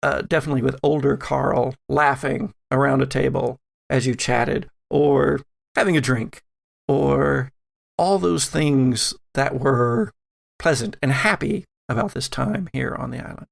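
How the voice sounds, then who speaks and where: A man working as game master, narrating a tabletop horror game in a measured way with dramatic pauses. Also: medium pitch, gravelly.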